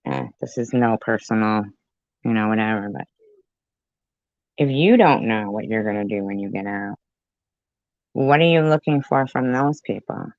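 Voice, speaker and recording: A woman's voice: extremely low pitch, darkish tone, no echo. A woman giving blunt, sarcastic reaction commentary on old video clips. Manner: blunt, sarcastic, drawn-out remarks. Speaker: commentary YouTuber. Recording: dry, muffled lo-fi sound.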